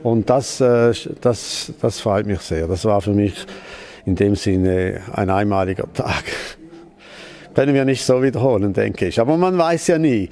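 A man's voice: fairly low pitch, darkish tone. An older man, answering an interview in a museum hall, searching for words with many restarts. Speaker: museum founder.

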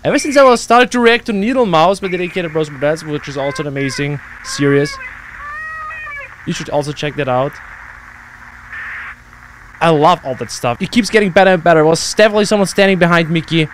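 Young adult man, reaction host, somewhat high voice, exclamatory slang and swearing, close mic with slight noise behind. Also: brisk, pauses often, rough recording. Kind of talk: casual reaction commentary. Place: small indoor gaming room at home. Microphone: close desk microphone on boom arm.

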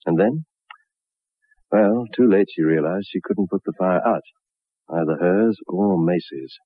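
An actor playing a worldly, knowing narrator in a 1960s radio drama, measured and wry. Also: male voice, low voice, husky, no room sound. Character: worldly, knowing male storyteller. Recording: muffled, narrow-band 1960s radio recording.

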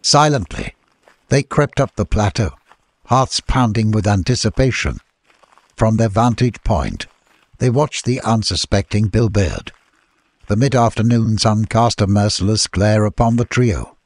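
A man's voice: on the low side, animated, unhurried, dry recording. A man reading a scripted adventure story as an audiobook narrator.

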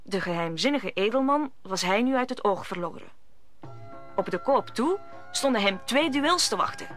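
A woman's voice: medium pitch, expressive, moderate pace, fairly bright tone, soft background music. A woman narrating an audio drama in a scripted storytelling style.

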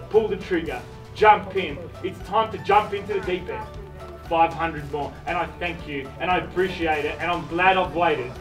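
A man in his thirties with an Australian accent, calling bids at an outdoor house auction in fast, persuasive, repetitive bursts. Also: fairly high pitch. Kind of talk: live property auction calling. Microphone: far camera. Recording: echoey, distant, with music bed.

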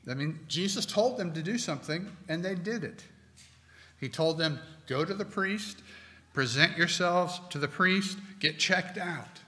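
A middle-aged man preaching a sermon from a pulpit, slow and deliberate with emphatic pauses. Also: high-pitched, sharp tone. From United States. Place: church sanctuary with stone wall, at a pulpit. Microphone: pulpit microphone. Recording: long hall reverb.

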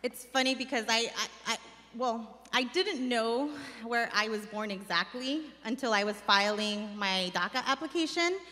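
Young woman from Mexico, organizer giving a read speech; slightly high voice, long hall reverb. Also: normal speed, sharp tone, a faint crowd, a little hiss or hum. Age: young adult. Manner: earnest, reading from notes with some restarts. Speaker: community organizer. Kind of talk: reading a personal speech at a summit. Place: large hall stage. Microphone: stand mic.

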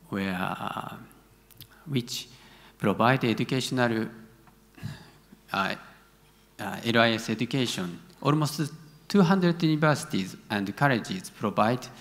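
A middle-aged man with a Japanese accent, a native Japanese speaker answering conference questions haltingly, searching for words. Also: medium pitch, gravelly. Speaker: conference presenter, university academic. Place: large conference hall stage. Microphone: gooseneck podium microphone. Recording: reverberant large auditorium.

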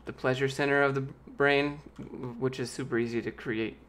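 Man, late 20s, youthful light voice, occasional squeak, relaxed rambling stream chat, close studio mic, home office. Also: normal speed. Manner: relaxed, rambling, reads viewer questions aloud. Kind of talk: casual live-stream chat. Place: small home office, indoors. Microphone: large studio mic with pop filter, close.

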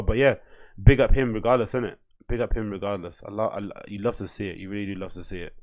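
A man's voice: on the low side, darkish tone. A man, hosting a casual solo podcast and rambling from a small home room into a desk mic. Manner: rambling, chatty, reads captions aloud in mock voices. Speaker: podcast host. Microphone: desk mic on boom arm.

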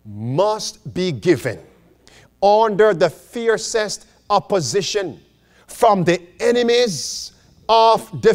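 Slow-paced, high-pitched man preaching a sermon. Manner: emphatic repetition, rhetorical questions to listeners. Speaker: pastor, preacher. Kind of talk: sermon with scripture and quotations read aloud.

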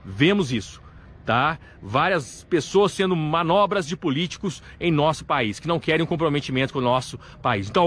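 A man from gives a live local news update beside a highway at night, talking earnestly into a phone camera held at arm's length and leaning on tag words like "né" and "tá". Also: a bit bright. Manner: earnest, repetitive, tag questions "né" and "tá". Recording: faint traffic noise outdoors.

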